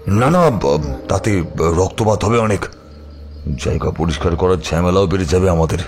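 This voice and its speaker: An actor playing a ruthless, vengeful crime boss in a horror audio drama, with a mocking, menacing manner. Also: male voice, sits low, expressive, darkish tone, a little hiss or hum. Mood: commanding. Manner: menacing, mocking, drawn-out rhetorical questions.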